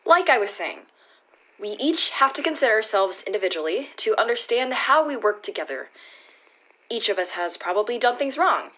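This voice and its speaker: A fairly high-voiced anxious girlfriend, played by a woman in a radio play. She speaks in earnest, halting, naturalistic dialogue, trying to reason with her partner and hold a failing relationship together. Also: lively intonation, pushed and bright. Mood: argumentative.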